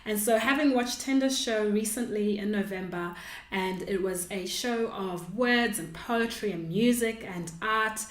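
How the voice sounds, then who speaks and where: A woman's voice: mid-range voice, unhurried. Woman, podcast host, mid-range voice, roomy echo, earnest, reflective, conversational delivery. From Australia, lived in Perth before Melbourne. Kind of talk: conversational podcast narration and interview. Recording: roomy, echoing sound.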